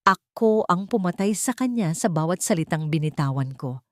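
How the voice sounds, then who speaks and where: A woman's voice: fairly low pitch, animated, moderate pace, no echo, studio quality, lightly acted. A woman voicing a desperate pleading young man. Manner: pleading, anguished.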